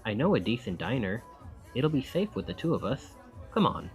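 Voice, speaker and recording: Charming, lively young male student, acted by a man. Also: medium pitch, moderate pace, soft background music, close to an everyday voice. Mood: friendly, coaxing.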